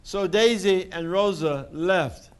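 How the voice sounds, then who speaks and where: A man narrating a children's picture book expressively and deliberately, with dramatic pauses. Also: sits high, clear, resonant tone. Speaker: storybook reader.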